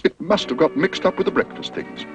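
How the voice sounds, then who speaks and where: A man voicing a gentle, worried motherly woman in a storybook reading, soft and fretful. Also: a little high, animated, brisk, over music, pitched above the performer's own voice, lightly acted.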